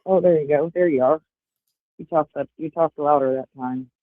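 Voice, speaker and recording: A woman's voice: low voice, moderate pace, no echo. A woman in her forties, a livestream guest chatting casually over earbuds, rambling with lots of fillers. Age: 40s. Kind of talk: casual chat. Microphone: earbuds over a call. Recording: tinny phone-like call line.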